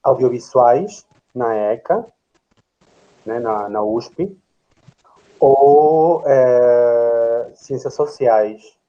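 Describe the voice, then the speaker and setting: A man from Peru, speaking Portuguese slowly and searching for words on a video call. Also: medium pitch, dark tone, dull audio, slight background noise. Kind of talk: casual introduction on a call.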